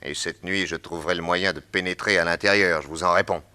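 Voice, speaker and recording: An actor playing a calm, shrewd male detective in a radio drama, terse and assured. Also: deep voice, brisk, clean tone.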